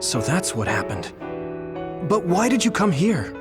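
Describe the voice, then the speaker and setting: A man's voice: high-pitched, wildly swooping pitch, a little slow, over loud music, exaggerated voice. An actor playing an earnest, kind teenage boy hero in an anime game dub.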